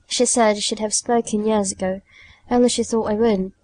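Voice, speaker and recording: A woman voicing a frightened, dying young woman in an audiobook, plaintive and halting as she pleads. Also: medium pitch, fairly even intonation, darkish tone, close to an everyday voice, poor audio. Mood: subdued, confiding. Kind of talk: audiobook character dialogue.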